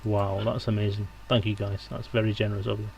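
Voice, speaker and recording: A man's voice: lowish voice, flat delivery, fast, vocal fry, darkish tone, slight background noise. A middle-aged man hosting a livestream, chatting and bantering casually. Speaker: livestream host.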